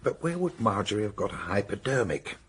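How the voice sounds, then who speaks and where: Brisk cop superintendent, low raspy husky voice, sharp and sceptical, radio drama. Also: male voice, very animated, heavily acted. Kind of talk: radio mystery drama dialogue.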